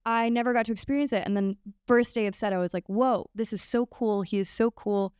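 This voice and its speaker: A woman in her early twenties on a panel, speaking earnestly and haltingly with many fillers. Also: a little high, a little mellow, clean tone, dry recording, studio quality. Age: early 20s. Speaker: actor on a panel. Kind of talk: casual panel Q&A talk.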